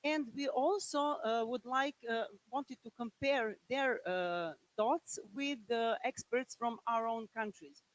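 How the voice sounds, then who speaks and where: A woman's voice: on the high side, poor audio. Woman, Serbian accent, university professor, conference panel talk, hesitant phrasing. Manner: halting, searching for words in a second language.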